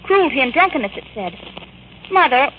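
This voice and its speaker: An actress playing a precocious, blunt, excitable young girl, cheeky and eager, in a 1940s-style radio comedy. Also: sits high, normal speed. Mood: cheeky, curious. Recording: noisy, muffled vintage radio recording.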